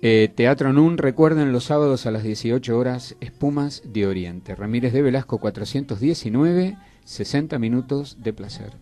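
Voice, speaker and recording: A man's voice: mid-range voice, normal speed, hardly any pauses, dark tone. A male radio host with a Buenos Aires accent. He chats casually and courteously, affirming his guests as he guides the interview along. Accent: Argentine, Buenos Aires. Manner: affirming, courteous, often murmurs agreement.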